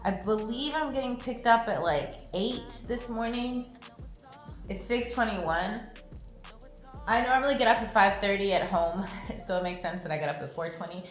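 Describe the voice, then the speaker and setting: A woman, chatting casually to camera in the early morning, relaxed and quick to laugh, with a stuffy, sniffly nose. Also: mid-range voice, echoey room, soft background music. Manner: chatty and relaxed, laughs often, drifts off mid-sentence. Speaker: vlogger. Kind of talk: casual vlog chat to camera. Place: hotel room in the early morning.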